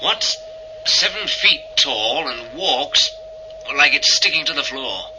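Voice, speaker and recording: Blunt hunted spacer, noisy tape. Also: male voice, mid-range voice, big pitch swings, slow pace. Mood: tense, irritable. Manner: blunt, terse. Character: hunted, irritable spacer man reporting by radio. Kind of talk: 1980 sci-fi radio drama acting. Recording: noisy old radio drama tape.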